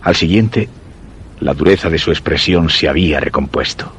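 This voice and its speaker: An actor playing an ailing, suspicious husband who narrates his macabre tale in a Spanish radio drama, measured and literary, with a Castilian accent. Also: very deep voice, a bit bright, a little hiss or hum. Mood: brooding, uneasy. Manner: measured, literary, brooding delivery.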